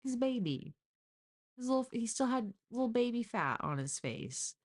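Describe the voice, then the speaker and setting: A woman's voice: a little high, big pitch swings, moderate pace. A woman talking casually and informally, as on a live true crime stream, with exasperated asides. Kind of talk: casual live case discussion.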